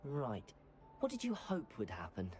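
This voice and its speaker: A man's voice: medium pitch, wildly swooping pitch, measured pace, a little mellow, a little hiss or hum. A man playing a polite, keen teenage new student at a wizard school in a game, curious in manner. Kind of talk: scripted game dialogue.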